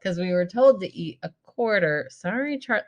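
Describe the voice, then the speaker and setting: A woman's voice: mid-range voice, expressive, moderate pace, a little mellow, dull audio. A woman co-hosting a podcast in casual comedic chat, playful, self-mocking and giggly. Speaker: podcast co-host.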